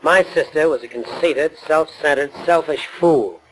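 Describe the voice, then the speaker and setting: An actor playing a cold, sneering grown son of a rich family, sardonic and dismissive, in 1940s radio style. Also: male voice, a little high, measured pace, lightly acted, low-quality recording. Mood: callous. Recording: 1940s radio broadcast recording.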